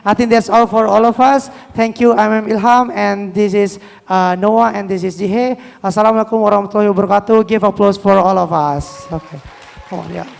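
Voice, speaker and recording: Creaky-voiced Indonesian man moderating in a hall. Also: high voice, fairly even intonation, brisk, few pauses, faint music behind. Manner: repetitive okays and yeahs. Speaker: moderator of a panel discussion. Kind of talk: informal live event hosting in simple English. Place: hall on a stage, live event. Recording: echoey hall sound.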